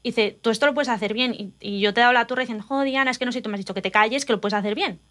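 A woman from Spain, telling personal stories in an animated, confiding casual chat. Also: on the high side, racing.

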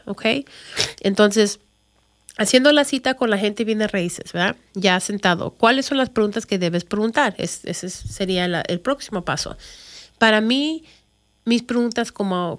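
American woman, native English speaker, explaining informally. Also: medium pitch. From Chicago, United States. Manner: conversational, mixes in English words and phrases. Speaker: real estate agent. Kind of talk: informal explainer talk to viewers.

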